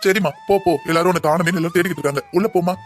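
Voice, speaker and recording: A man's voice: sits high, rapid-fire, faint music behind, natural voice. A man voicing a mild middle-aged village father with a southern Tamil Nadu accent, placating and hurrying people along in a cartoon comedy.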